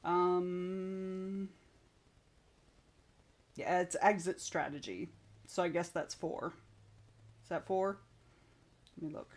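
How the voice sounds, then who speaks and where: A woman's voice: on the low side, level tone, slow, pauses often. A young adult woman hosting a writing stream, chatting casually and reading out viewer comments, rambling and frank, with some swearing.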